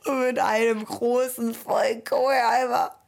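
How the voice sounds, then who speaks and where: A high-voiced, affectionate wife played by a woman in a radio play, teasing her husband. She talks slowly and haltingly, repeats herself and giggles as she goes. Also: level tone, vocal fry. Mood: amused, teasing. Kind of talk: loose, naturalistic radio play dialogue.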